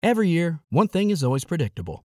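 Lively man reading an ad. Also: fairly high pitch, quick pace, no room sound, clean studio sound. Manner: punchy, lively delivery. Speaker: ad read. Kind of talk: scripted ad read.

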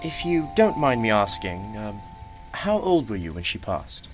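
A performer in a radio play playing a courteous, gently probing keeper of a mysterious magical shop. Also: mid-range voice, very animated, unhurried. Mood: sympathetic, curious. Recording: music playing behind the voice. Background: music.

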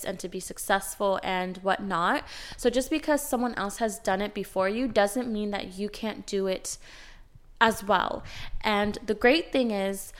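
A young woman hosting her own podcast, talking casually and directly, sounding nasal and raspy from a cold. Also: mid-range voice. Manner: chatty, direct, occasionally swears. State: has a cold. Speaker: podcast host and life coach. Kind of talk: casual solo podcast monologue.

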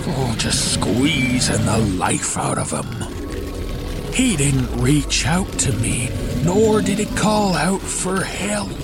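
Male, rattled young narrator of audio horror tale; confessional, emphatic, unhurried delivery with lively pitch swings over clear music. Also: full character voice. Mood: agitated, urgent. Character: rattled young man recounting a snake horror. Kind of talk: dramatic first-person horror storytelling. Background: background music.

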